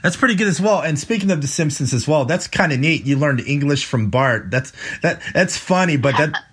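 Husky man asking hesitant questions. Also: fairly high pitch, animated, fairly quick, a bit bright. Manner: hesitant, filler-heavy questions. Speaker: interviewer. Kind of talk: casual interview.

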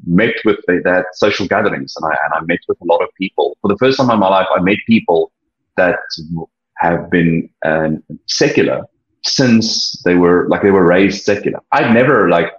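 Deep husky South African man, earnest. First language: Afrikaans. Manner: earnest, halting, many restarts. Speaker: interview guest. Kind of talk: personal testimony, reflective. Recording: dull lo-fi sound.

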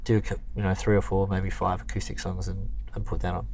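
A man's voice: deep voice, level tone, fairly quick, darkish tone, slight background noise. A man, a singer-songwriter, talking casually and rambling with many fillers and restarts. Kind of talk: casual conversation.

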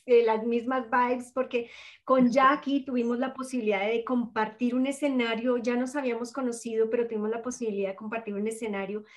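A woman's voice: fairly high pitch, steady tone, a little fast. A woman hosting a podcast, greeting listeners and introducing her guest in an upbeat way. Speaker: podcast host.

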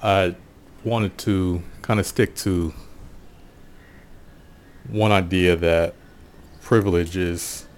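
An American man giving a casual, reflective solo monologue in an unhurried, musing way, often pausing mid-sentence. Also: low-pitched, fairly even intonation. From Portland, USA. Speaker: podcast host.

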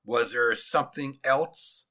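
A man's voice: mid-range voice, slow pace, pushed and bright. A man voicing a domineering, zealous army general in a story, barking orders in a declamatory, commanding way. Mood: brisk, satisfied.

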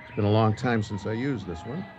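An actor playing a grim, determined lion hunter in a radio drama, terse and commanding. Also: male voice, on the low side, fairly quick, dull audio, music in the background, lightly acted. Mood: grim, matter-of-fact. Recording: noisy radio drama recording.

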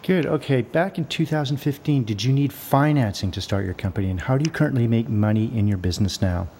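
A man's voice: medium pitch, quick pace, a little hiss or hum. A man hosting a podcast, reading a sponsor ad and a guest introduction, then asking interview questions. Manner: scripted and even when reading, plain and direct when asking. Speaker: podcast host.

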